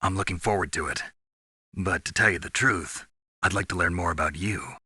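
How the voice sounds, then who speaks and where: Gruff male soldier, very low, husky, forceful, terse and grim, video game hero. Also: normal speed, no room sound, clean studio sound, heavily acted. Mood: earnest. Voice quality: gravelly, husky. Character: gruff, stoic, battle-hardened male soldier on a mission.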